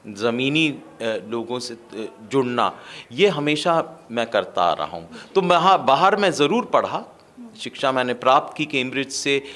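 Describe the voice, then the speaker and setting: A man's voice: mid-range voice, fairly bright tone. A man from India answering interview questions as a political candidate, speaking earnestly with fillers and restarts. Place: his family home.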